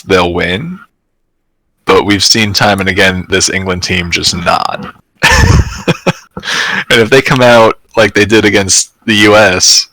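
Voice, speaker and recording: Male podcast co-host, low dry voice, hesitant chatty football talk. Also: fairly bright tone, dry recording, rough recording. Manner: hesitant, trails off with fillers and laughs. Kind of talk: casual sports chat.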